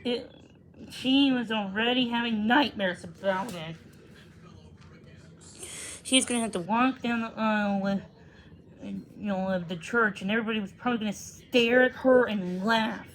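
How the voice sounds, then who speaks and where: A woman's voice: a little high, normal speed, pauses often. A woman reading a children's storybook aloud as a read-along storyteller, stumbling now and then over the text.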